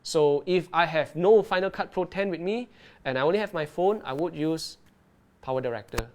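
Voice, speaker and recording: A man presenting an underwater video tutorial and Q&A live. He talks in a lively way and keeps checking in with his viewers. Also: fairly high pitch, normal speed, slight background noise. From Malaysia.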